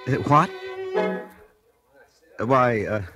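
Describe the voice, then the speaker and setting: An actor playing a meek, nervous husband with a hesitant, stammering delivery in 1940s radio drama style. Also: male voice, mid-range voice, lively intonation, drawn-out words. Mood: flustered, nervous. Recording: 1940s radio recording with music.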